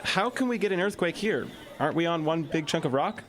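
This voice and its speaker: Teen schoolboy sleuth for audio drama male actor, earnest and questioning, slightly high register. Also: expressive, brisk, fairly bright tone. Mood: curious, earnest. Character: earnest, curious teenage schoolboy amateur sleuth.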